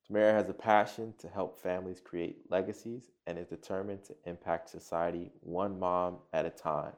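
A man's voice: low-pitched, steady tone, moderate pace, a little mellow. A man hosting a podcast interview in an upbeat way, often repeating his words. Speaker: podcast host.

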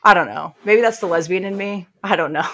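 A woman podcast co-host with a lowish voice and strong vocal fry. She talks casually, telling things in loose, informal bursts and voicing reactions as she goes. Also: a little fast, fairly bright tone. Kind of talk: casual chat.

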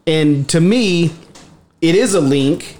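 A man's voice: on the high side, animated, takes it slowly, a little mellow. A man on a paranormal investigation team, talking casually and emphatically, often repeating himself. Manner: repetitive, restarts often, emphatic. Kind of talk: casual group discussion.

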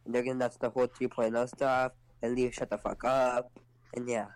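A man's voice: mid-range voice, little pitch movement, brisk, no room sound, rough recording. Rambling man on voice chat. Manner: rambling, hesitant fillers, blunt opinions. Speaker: podcast panelist. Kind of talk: casual group chat podcast. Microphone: online voice chat.

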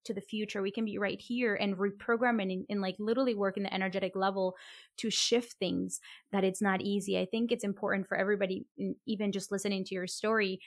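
A woman's voice: mid-range voice, dry recording. Reflective woman from Colombia, dry sound. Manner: warm, reflective, many fillers and restarts. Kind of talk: conversational interview.

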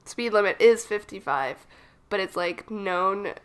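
A woman's voice: medium pitch, expressive, measured pace, clean tone. A young adult woman co-hosting a casual chat podcast, sounding a little froggy from a cold and telling rambling stories. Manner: chatty, rambling storyteller. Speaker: podcast co-host.

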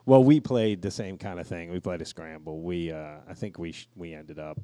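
Deep-voiced man, crude casual podcast talk. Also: steady tone, moderate pace, dark tone. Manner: crude, profane, joking. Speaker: podcast co-host. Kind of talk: casual chat.

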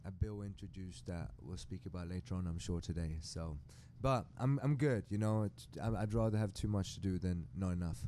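A man from Sweden talking casually as a podcast guest, halting and repeating words. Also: low voice, warm tone. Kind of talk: casual conversational interview. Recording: clear background noise.

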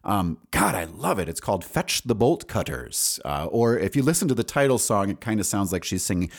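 A man in his forties with a deep voice talks as a podcast host in a loose solo monologue. His pitch moves around a lot as he rambles candidly, casual and unscripted. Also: very animated, a little fast, vocal fry. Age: 40s. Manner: rambling, candid, salty-tongued.

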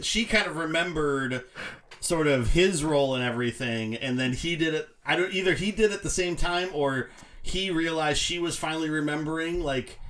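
A man's voice: on the high side, background noise. A man on a podcast panel talking casually and loosely about films, rambling and correcting himself as he goes. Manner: rambling, self-correcting, hesitant phrasing. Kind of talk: casual movie discussion.

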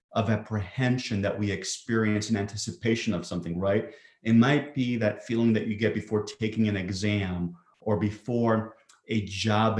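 A man from Greece, a psychiatrist, giving measured interview answers with frequent fillers. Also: lowish voice, darkish tone, reverberant.

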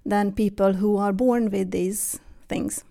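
A woman in her forties with a Hungarian accent, a guest telling her story in a relaxed interview, with frequent fillers and restarts. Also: medium pitch, slow pace, gravelly. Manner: hesitant, many fillers and restarts. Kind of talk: casual interview conversation.

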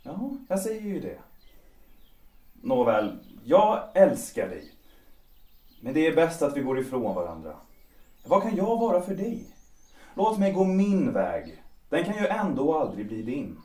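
Actor as moody young composer, swinging intonation, echoey. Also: male voice, fairly high pitch, huge pitch swings, pauses often, dark tone. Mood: teasing reluctance, then wounded pleading. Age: young adult. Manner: theatrical, moody, romantic. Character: young, proud, restless composer and lover. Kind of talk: period stage-play audio drama. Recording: roomy, distant from the mic.

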